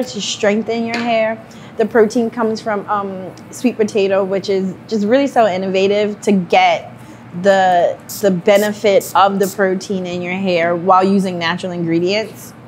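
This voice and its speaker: A woman hosts a hair-care demo, explaining products in a friendly, chatty way with the odd "um." Her voice sits at a middle pitch, with light noise underneath. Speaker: brand presenter. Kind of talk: casual chat and product explanation.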